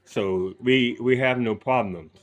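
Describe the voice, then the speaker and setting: Male host for casual interview, average pitch, halting phrasing with occasional Spanish words.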